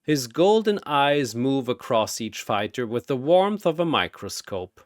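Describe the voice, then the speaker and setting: A man narrating a scripted story in a dramatic, theatrical and deliberate manner. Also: mid-range voice, sing-song, dry recording, clean studio sound.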